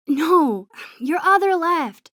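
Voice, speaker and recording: A woman voicing a sweet, shy, nerdy teenage girl in a video game, with a soft, often breathy voice and a gentle, teasing, earnest manner. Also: very high voice, lively intonation, slow, no echo, clean studio sound, heavily acted. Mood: excited, exasperated.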